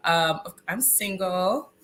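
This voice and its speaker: Guest: 30s woman, stuffy nose, bright nasal voice, laughs easily. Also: on the low side, slow, rough recording. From Mississippi, US. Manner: chatty, laughs often. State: congested, a little nasal. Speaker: guest, nurse. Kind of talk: casual interview.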